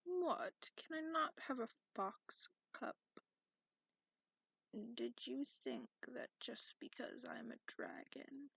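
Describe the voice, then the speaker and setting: A woman voicing a cranky, sarcastic male dragon in a soft, grumbling ASMR roleplay, grudgingly polite and partly whispered. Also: high voice, creaky, slightly rough, dry recording, heavily acted. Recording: lo-fi, noisy close recording with clicks.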